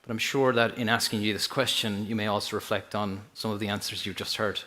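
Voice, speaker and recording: A man's voice: fairly low pitch, fairly even intonation, a little fast, pushed and bright. A man from Ireland with an Irish accent, moderating a panel in a hesitant way with many restarts. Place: stage at a university event.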